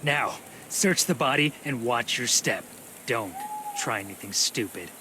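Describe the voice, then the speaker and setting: A man's voice: mid-range voice, animated, a little slow, background noise, natural voice. A man voicing a cynical, domineering killer in a video game, commanding and sardonic. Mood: cold, commanding. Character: cynical, menacing, domineering killer who calls himself damned. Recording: music behind.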